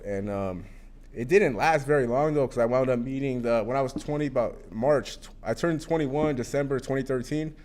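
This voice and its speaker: A man's voice: medium pitch, a little mellow. A man in his thirties telling his recovery story at a support meeting, candid and rambling with lots of fillers and cursing. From Arizona. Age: 30s. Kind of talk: personal recovery testimony.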